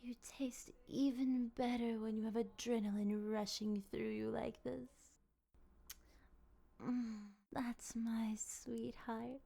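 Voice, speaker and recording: Woman acting a slow, breathy, possessive vampire lady. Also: fairly high pitch, dark tone, full character voice. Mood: hungry, pleading. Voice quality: breathy, often dropping to a whisper. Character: possessive, courteous, hungry female vampire, soft dominant. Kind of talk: intimate ASMR roleplay acting.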